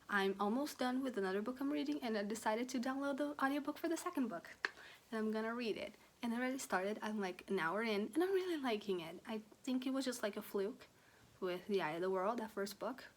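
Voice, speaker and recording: A young Brazilian woman with a fairly high voice talks through her month of reading in a candid, animated way. Her Brazilian Portuguese accent colors her English, and she swears casually as she chats. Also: moderate pace. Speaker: book vlogger. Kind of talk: casual book review chat.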